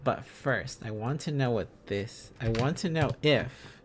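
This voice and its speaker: Slow, halting man thinking aloud. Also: mid-range voice, animated, a little hiss or hum. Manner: halting, musing aloud, repeating phrases and syllables. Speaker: streamer learning Korean script on a live stream. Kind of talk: casual think-aloud teaching, sounding out letters and words.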